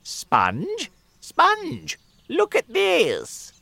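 A reader voicing a shrill, spiteful, bossy old aunt in a storybook reading, shrieking high and loud. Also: huge pitch swings, drawn-out words, dry recording, exaggerated voice. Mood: shrill, excited.

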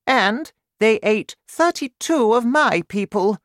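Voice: mid-range voice, animated, slow, dry recording, studio quality, pitched above the performer's own voice. A reader voicing a commanding, polite grown woman, a Queen in a storybook. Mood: commanding, concerned.

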